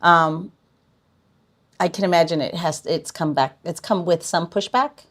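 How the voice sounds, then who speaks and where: A woman's voice: on the low side, measured pace. A woman hosting a podcast interview, chatting casually and often restarting her sentences. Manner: hesitant, many restarts. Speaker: podcast host.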